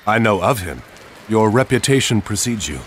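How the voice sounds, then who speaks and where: An actor playing a proud, resolute admiral of Atlantis with a commanding, theatrical delivery in a video game cutscene. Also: male voice, on the low side, big pitch swings, moderate pace, a little hiss or hum. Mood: courteous, wry.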